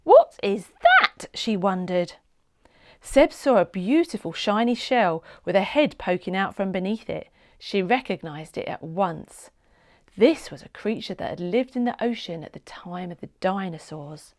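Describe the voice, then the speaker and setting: A woman reading a children's storybook aloud as an expressive read-along narrator, with dramatic emphasis. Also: medium pitch, unhurried.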